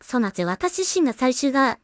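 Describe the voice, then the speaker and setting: A woman's voice: high voice, big pitch swings, fast, dry recording, clean studio sound. A woman giving casual production commentary, thinking aloud as she works as a solo animation creator and voice actor.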